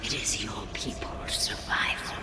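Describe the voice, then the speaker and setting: A woman playing an imperious, otherworldly angelic queen in a video game, speaking in a cold, solemn, formal manner. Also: natural voice. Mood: solemn, unyielding. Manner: slow, whispery, grandly formal. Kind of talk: scripted video game villain monologue. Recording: long cavernous reverb, loud music behind.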